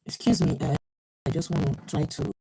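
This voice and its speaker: A woman's voice: sits low, steady tone, a little fast, creaky, dark tone, slightly rough. A woman hosting a live online program through an earpiece mic. She talks her way through the technical trouble, with lots of fillers and restarts. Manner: flustered, troubleshooting aloud, many fillers and restarts. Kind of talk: live online talk show.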